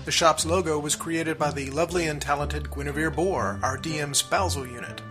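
A man's voice: on the high side, normal speed. A man hosting a podcast, reading scripted outro announcements in an upbeat, announcer-like way. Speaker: podcast host. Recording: music playing behind. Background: music.

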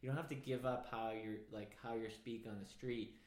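Host, man, chatty and meandering, mid pitch, roomy sound. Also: fairly even intonation, normal speed, a little mellow. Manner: rambling, full of "like" and restarts. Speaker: podcast host. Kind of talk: casual conversation. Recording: roomy echo.

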